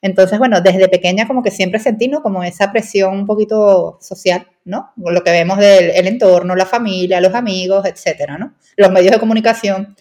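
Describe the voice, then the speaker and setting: A woman's voice: medium pitch, fairly even intonation, fairly quick, hardly any pauses, a little mellow. A young woman from Venezuela with a Venezuelan Spanish accent, a nutritionist chatting as a podcast guest and peppering her talk with tag questions. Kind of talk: casual interview chat.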